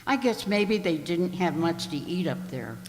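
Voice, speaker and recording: A woman's voice: lowish voice, expressive, unhurried, a little mellow. A woman playing a chatty, gossipy grocery cashier in an amateur radio play. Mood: chatty, curious. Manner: gossipy, inquisitive, repeats the orders back. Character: chatty, nosy female grocery store cashier. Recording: echoey hall, long reverb.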